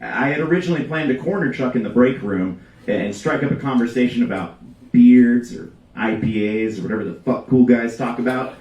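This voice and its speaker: A man reading a comic story aloud at a live event, with theatrical emphasis and dramatic pauses. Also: medium pitch, darkish tone, highs cut off. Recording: echoey live room, distant mic, background noise.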